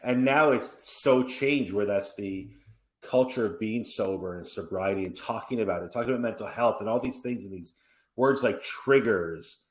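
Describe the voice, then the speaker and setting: A man's voice: on the low side, moderate pace. Man in his forties, roomy sound. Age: 40s. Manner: rambling, animated storytelling with restarts and asides. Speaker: podcast host. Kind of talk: casual conversational interview. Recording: roomy echoey room.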